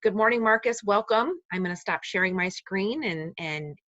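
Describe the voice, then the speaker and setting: A woman's voice: mid-range voice, normal speed, a bit bright, no echo. A woman hosting an online session, opening it in a friendly way with many fillers. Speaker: host. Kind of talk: webinar introduction. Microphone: video call. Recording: dry, dull lo-fi video call audio.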